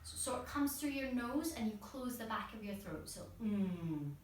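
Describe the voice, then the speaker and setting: A woman's voice: a little high, lively intonation, normal speed, a little hiss or hum. Female workshop instructor, encouraging guided instruction, distant from the mic with heavy room echo. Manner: encouraging, step-by-step, demonstrates as she talks.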